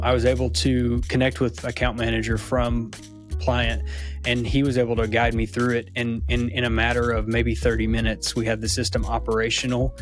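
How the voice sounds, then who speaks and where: A man giving a casual testimonial, explaining things in a matter-of-fact way. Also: medium pitch. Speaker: church communications director.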